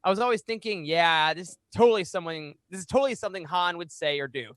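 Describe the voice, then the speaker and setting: High-pitched man hosting a podcast. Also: animated, fast, fairly bright tone, no room sound. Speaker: podcast host. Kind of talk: reading aloud and hosting a fan podcast. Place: small home room, indoors. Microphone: close studio microphone on boom arm.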